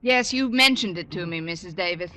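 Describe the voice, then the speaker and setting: An American actress playing a wry, quick-witted single English teacher in a 1950s radio comedy, with dry, wisecracking delivery. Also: female voice, on the low side, animated, sharp tone. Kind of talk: 1950s radio sitcom acting. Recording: muffled old radio recording, hissy, with clicks.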